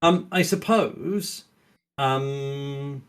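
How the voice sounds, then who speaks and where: A man's voice: mid-range voice, very slow, clear, resonant tone. A man as a podcast guest, explaining his opinions in a rambling, conversational way with plenty of hedging. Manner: rambling, hedging, acts out imagined quotes. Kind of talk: casual chat, explaining opinions.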